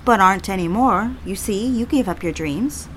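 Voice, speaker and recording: A romantic, torn young woman, played by an actress, with a medium-pitched voice. She is candid, wry and slightly theatrical in an audio drama, over faint background noise. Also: normal speed, lightly acted, rough recording. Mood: cynical, defensive. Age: 20s. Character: conflicted, romantic young woman confiding in her father.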